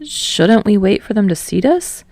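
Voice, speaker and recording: A woman's voice: animated, measured pace, gravelly, dry recording. A woman voicing an earnest, good-natured young man in an audiobook story, casual and protesting. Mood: puzzled. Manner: casual, protesting, higher and louder than narration.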